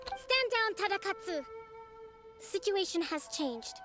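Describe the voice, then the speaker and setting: A woman's voice: very high voice, very animated, a little slow, full character voice. A woman playing a young, poised strategist leader in a video game, polite but firm and diplomatic, over music. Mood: calm, firm. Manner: diplomatic, formal.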